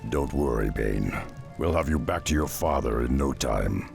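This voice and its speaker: A man voicing a gruff, stoic half-orc tracker in a fantasy game, terse and commanding with a gravelly growl. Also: extremely low pitch, animated, moderate pace, heavily acted. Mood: determined. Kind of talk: fantasy game cinematic acting. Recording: music behind the voice.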